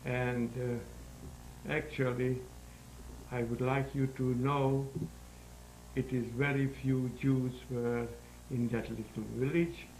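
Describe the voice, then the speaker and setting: A man in his nineties with a Hungarian accent, giving halting, deliberate interview answers about his own life in non-native English. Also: mid-range voice, little pitch movement, darkish tone. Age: 90s. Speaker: interview guest, sculptor. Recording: roomy echo, faint background noise.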